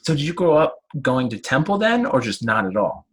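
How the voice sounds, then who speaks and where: A man's voice: mid-range voice, big pitch swings, fairly quick, husky. A man hosting a podcast interview, asking teasing questions and often chiming in with short replies. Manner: teasing questions, frequent backchannel. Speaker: podcast host.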